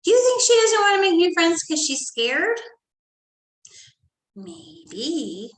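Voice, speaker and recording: A woman teacher reading a storybook aloud to first graders in a sing-song, animated way, often dropping to soft whispered asides. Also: very high voice, slow pace. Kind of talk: storybook read-aloud lesson for young children. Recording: echoey room.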